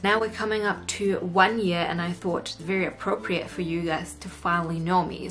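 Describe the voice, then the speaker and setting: Low-pitched young New Zealand woman vlogger. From Egypt, moved to New Zealand aged nine. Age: late 20s. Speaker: YouTuber hosting a vlog. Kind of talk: casual chat to camera. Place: indoor room at home. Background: background music.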